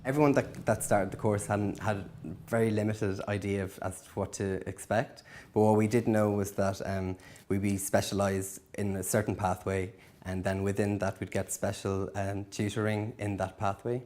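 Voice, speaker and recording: A man, a sculpture student, talking in a documentary interview in a hesitant way, with many pauses and ums. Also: fairly low pitch, little pitch movement, darkish tone.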